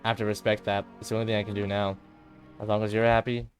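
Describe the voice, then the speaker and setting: A man's voice: on the low side, little pitch movement, very fast, faint music behind, lightly acted. Man as a subdued, guilt-ridden teenage girl. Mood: resigned. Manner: subdued, hesitant, self-blaming. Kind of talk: dramatic visual novel voice acting.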